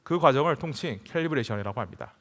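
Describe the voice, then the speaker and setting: A man delivers a formal, scripted technical presentation in a reverberant hall. His pitch is mid-range and swings in a lively way across phrases, while the wording stays measured and carefully prepared. The long reverb of a conference venue surrounds his voice. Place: large conference hall stage.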